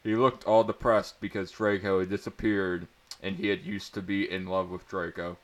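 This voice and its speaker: A man's voice: deep voice, little pitch movement, normal speed. A man doing a comedic dramatic reading of bad fan fiction, prone to stumbling and giggling as he reads. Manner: often breaking into giggles and stumbling over words.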